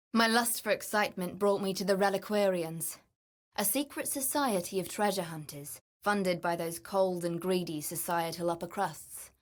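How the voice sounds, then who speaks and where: An actress playing an adventurous, greedy woman treasure hunter in a video game, confident, wry and theatrical. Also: on the low side. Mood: candid, wry. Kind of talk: video game character voice lines.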